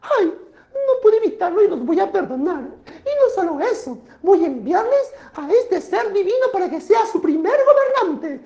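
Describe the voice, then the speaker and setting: A woman voicing a soft-hearted moon goddess in a myth told aloud, grand and exclaiming as she gives orders. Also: very high-pitched, very animated, normal speed, echoey room, voice pushed up, heavily acted. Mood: grand, doting. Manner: exclaiming, theatrical.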